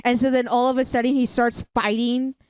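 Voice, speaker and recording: A young woman chats as a podcast co-host, reviewing things in an informal and agreeable way with plenty of exclamations. Her voice is a bit high and very clean and smooth. It sounds dry and free of room echo. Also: flat delivery, a little fast, darkish tone. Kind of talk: casual review chat.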